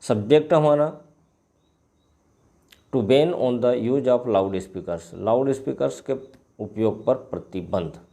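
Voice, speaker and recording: A man with an Indian accent, a native Hindi speaker, teaching line by line and repeating phrases deliberately as he translates. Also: mid-range voice, creaky, mellow. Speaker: English teacher. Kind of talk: line-by-line bilingual lesson, reading and translating.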